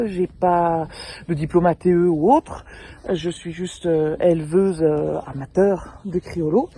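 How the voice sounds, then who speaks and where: A woman in her thirties from the French Alps, who raises mules as an amateur, introducing herself casually outdoors in a field beside her animals and pausing often to hesitate. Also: on the low side, dark tone, slightly rough. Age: 30s. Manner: hesitant, frequent filler pauses. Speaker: amateur mule breeder. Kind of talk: casual self-introduction to camera.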